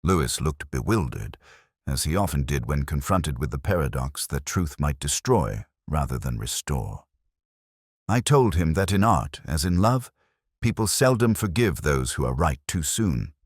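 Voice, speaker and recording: A man voicing a melancholy, brooding English police detective, narrating a scripted mystery in a measured, solemn, literary manner. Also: extremely low pitch, very animated, breathy edge, dry recording, studio quality, heavily acted. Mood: melancholy, reflective. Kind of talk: scripted first-person detective story narration.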